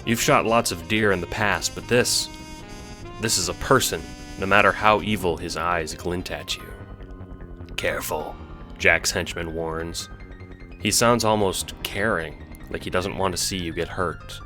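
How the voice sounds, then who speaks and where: A man's voice: sits low, measured pace, pushed and bright. A man narrating an audio drama in a dramatic, scripted storytelling style, with music behind him.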